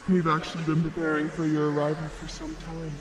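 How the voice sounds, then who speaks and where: A man playing a composed, clever fighter in an anime fan drama, with a measured, smug delivery. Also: a little high, completely flat delivery, lightly acted. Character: composed, clever male fighter springing a trap.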